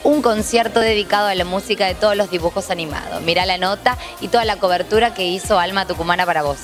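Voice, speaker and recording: A woman's voice: medium pitch, fast, loud music behind the voice. A woman with an Argentine accent presenting a scripted television segment introduction.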